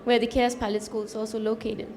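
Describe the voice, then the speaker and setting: A woman's voice: on the high side, little pitch movement, fast talker, darkish tone, a little hiss or hum. A young woman from Denmark introducing herself to an audience in a plain, earnest way. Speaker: student presenter. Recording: reverberant hall, faint ambience.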